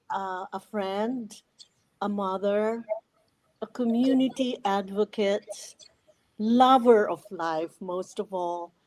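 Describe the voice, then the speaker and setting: Woman in her sixties, Filipino accent, unhurried delivery, mid-range pitch, measured phrasing, reflective podcast guest. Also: darkish tone, low-quality recording. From Philippines. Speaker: podcast guest, retired educator. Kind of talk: reflective interview talk.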